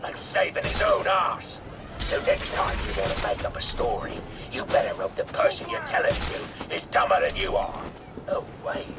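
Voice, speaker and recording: An actor playing a cruel, bullying British gangster boss in a video game, mocking, menacing and theatrical. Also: male voice, medium pitch, wildly swooping pitch, normal speed, recorded from a distance, very noisy. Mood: menacing, sarcastic.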